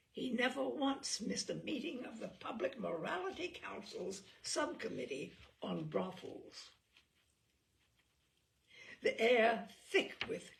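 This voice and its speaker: A woman's voice: a little high, gravelly. A woman reading a comic memoir aloud in a wry, playful way, amused by the text. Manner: wry, playful, amused delivery with occasional slips and laughs. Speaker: reader of humorous essays.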